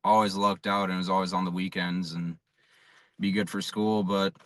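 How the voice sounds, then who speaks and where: Young American male athlete, unassuming interview answers, very low pitch, dry close recording. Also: level tone, normal speed, fairly bright tone, no echo. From South Dakota, USA. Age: young adult. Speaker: college baseball pitcher, interview guest.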